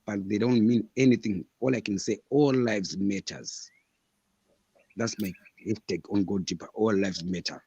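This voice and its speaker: A man's voice: fairly low pitch, fairly even intonation, a little slow, mellow, husky, no echo, muffled recording. A man in his thirties from Zimbabwe, a guest author answering interview questions in an earnest, emphatic way and repeating his points. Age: 30s.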